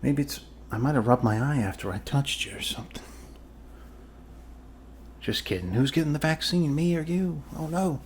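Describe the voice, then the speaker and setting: A man's voice: a little high, moderate pace, pauses often, slight background noise. A man improvising an ASMR roleplay as a goofy, reassuring doctor, rambling casually and joking as he goes.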